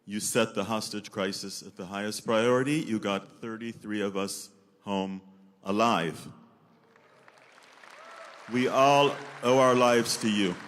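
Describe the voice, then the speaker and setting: A man's voice: on the low side, a little slow, fairly bright tone, some chatter behind. A man giving a formal, earnest speech of thanks as a freed hostage, repeating his phrases. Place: large banquet hall.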